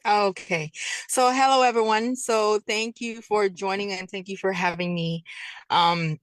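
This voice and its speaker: A woman in her fifties from Guyana talks in a soft, halting way, often stopping to gather her thoughts. Her pitch sits in the middle of the range. She sounds close to the mic in a dry space with no echo. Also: fairly even intonation. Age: 50s. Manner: soft-spoken, hesitant with frequent ums. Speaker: guest. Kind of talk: casual interview talk.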